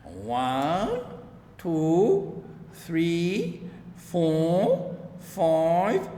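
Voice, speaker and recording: A man voicing a greedy, gloating old farmer from a storybook, with drawn-out, emphatic exclamations. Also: a little high, full character voice. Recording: echoey room, long reverb, steady background noise.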